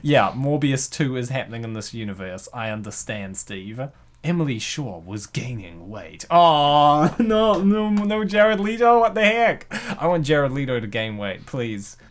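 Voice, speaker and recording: A man's voice: mid-range voice, expressive, a little slow. A man narrating a comic dub with playful, slangy joking asides.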